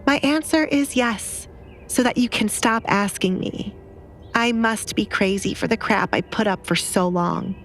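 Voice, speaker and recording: A woman's voice: medium pitch, a little slow, voice pushed up, close to an everyday voice. A woman voicing a bitter, defiant young noblewoman in an audio drama, blunt and sarcastic, with light background noise. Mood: annoyed.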